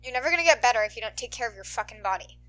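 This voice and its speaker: A woman's voice: fairly high pitch, expressive, racing, pushed and bright, rough recording. Woman voicing an abrasive, growling teenage boy. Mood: scolding. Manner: growling, snarling, gruff.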